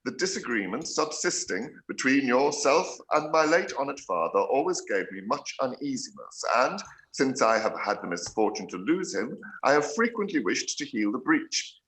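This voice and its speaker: A middle-aged English country gentleman for a period radio play. His delivery is dry and teasing, with formal phrasing and lively swings of pitch. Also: a little hiss or hum, poor audio. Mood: wry amusement. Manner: wry, measured, formal period speech. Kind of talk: live-read radio play of a period novel.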